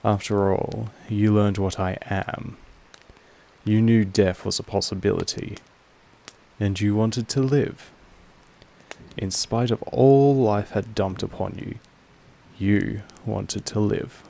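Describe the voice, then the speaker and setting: Man playing a slow, gravelly, possessive vampire master. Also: fairly even intonation, dark tone. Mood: controlled, quietly menacing. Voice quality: low, creaky. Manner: slow, deliberate, measured delivery with weighty pauses. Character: possessive, patient, commanding male vampire master. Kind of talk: scripted roleplay audio monologue addressed to the listener.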